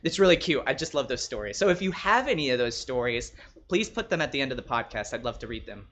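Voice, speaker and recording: A man who is a writer and podcast co-host, chatting casually in an animated, gushing way. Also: mid-range voice, fast. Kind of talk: casual chat.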